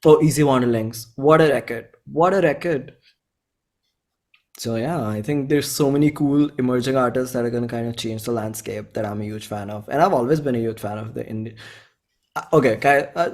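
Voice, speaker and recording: A man's voice: mid-range voice, fairly quick, darkish tone. A man in his twenties from India, with an Indian accent, chatting casually as a musician guest in an enthusiastic, effusive, slangy way. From Bhopal, India. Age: 20s. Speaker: indie musician, interview guest. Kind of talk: casual chat.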